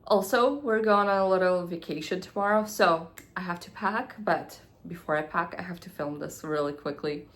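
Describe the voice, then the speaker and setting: A young woman vlogging about her beauty favorites, nasal and congested from a cold, talking quickly and sometimes dropping to a near-whisper. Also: medium pitch. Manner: chatty, hurried, drops to near-whispers at times. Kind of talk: casual product review to camera.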